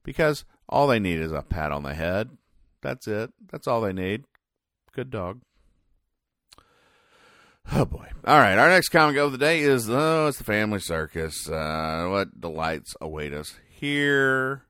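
Male comedy podcast host, deep voice, halting deadpan explainer, close dry recording. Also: dry recording. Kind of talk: comic commentary describing newspaper comic strips.

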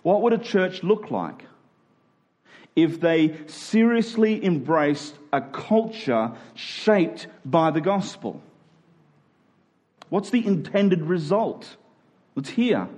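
Higher-voiced male preacher, emphatic and rhetorical. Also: big pitch swings, takes it slowly, long pauses, a little mellow. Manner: emphatic, rhetorical questions and repetition. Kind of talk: sermon to a congregation.

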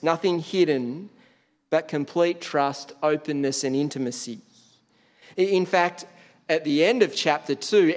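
A man delivers a sermon in a relaxed, conversational way, often restarting words and filling pauses. His voice sits a little high for a man. The sound carries a long reverb, as if in a large hall. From Australia. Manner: conversational, frequent fillers and restarts. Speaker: preacher.

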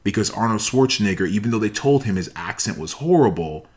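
Low-voiced man giving an emphatic motivational talk. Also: lively intonation, fast, a little mellow. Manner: emphatic, repeats key phrases for emphasis. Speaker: motivational speaker.

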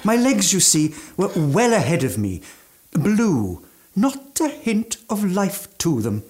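Fussy, genteel, slow-savoring old gourmand. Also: male voice, sits high, huge pitch swings, a little mellow, heavily acted. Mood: matter-of-fact, ghoulish. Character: eccentric aging gourmand mountaineer, genteel, ghoulishly food-obsessed cannibal. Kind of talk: theatrical radio drama monologue.

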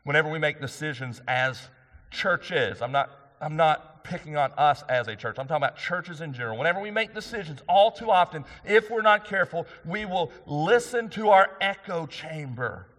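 A man preaching a sermon to his church, loud and emphatic, rising for key points. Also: on the high side, normal speed. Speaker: pastor. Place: church sanctuary. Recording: long hall reverb.

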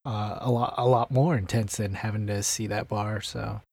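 A man on a casual podcast, talking through his thoughts in a relaxed, hedging way. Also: lowish voice, moderate pace, creaky, breathy edge, dry recording. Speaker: podcast co-host.

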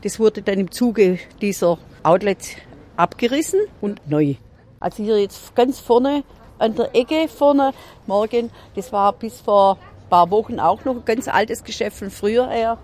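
A woman's voice: medium pitch, a little slow, a little mellow. A woman with a Swabian accent, a local shopkeeper, chatting casually and folksily on the street. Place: outdoors on a town street.

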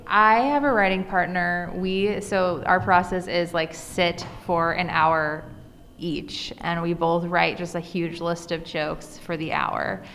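A woman talks casually as an interview guest, her voice on the low side. The recording has long reverb and noticeable noise, and she leans on informal, enthusiastic phrasing. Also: fairly even intonation, slow pace, a bit bright. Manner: informal, with frequent "like" and enthusiastic emphasis. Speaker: interview guest, author and cartoonist. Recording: reverberant room with clear background noise.

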